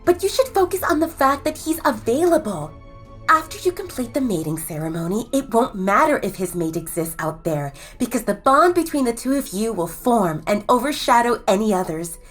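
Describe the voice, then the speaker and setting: A woman's voice: a little high, sing-song, normal speed, faint music behind. A gushing young werewolf girlfriend. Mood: reassuring. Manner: eager, persuasive, teasing. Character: gushing young woman werewolf, the bride's friend. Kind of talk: audio drama acting.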